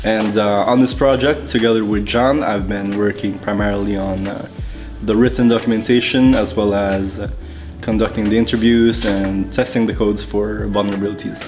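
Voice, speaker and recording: Hesitant young man, low voice. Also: steady tone, music in the background. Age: 20s. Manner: hesitant, with filler pauses. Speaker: student presenter. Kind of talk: casual project introduction.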